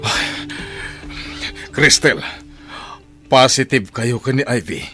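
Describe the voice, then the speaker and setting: A man's voice: medium pitch, slow pace, music in the background, close to an everyday voice. A man acting a concerned male character in a Filipino family radio drama. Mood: troubled, resolute.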